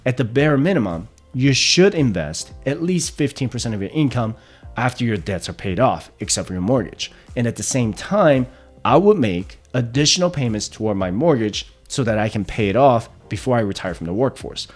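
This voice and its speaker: A man's voice: mid-range voice, very animated, moderate pace, faint music behind. A man in his thirties hosting a personal finance explainer, walking through the numbers step by step and often checking in with "right?". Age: 30s. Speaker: personal finance channel host.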